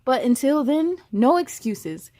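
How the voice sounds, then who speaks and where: Woman as a firm, slow-spoken storybook dad. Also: high voice, animated, dark tone, dry recording, heavily acted. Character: firm, unbudging father advising his young son.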